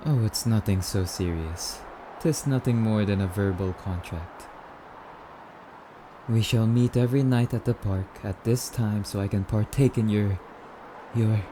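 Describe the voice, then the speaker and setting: A man's voice: fairly low pitch, a little slow, background noise, close to an everyday voice. A man playing a courtly, wistful male vampire in an ASMR roleplay, speaking in a musing, slightly archaic, haughty yet polite manner. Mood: pleased, courtly. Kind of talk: ASMR roleplay monologue addressed to listener.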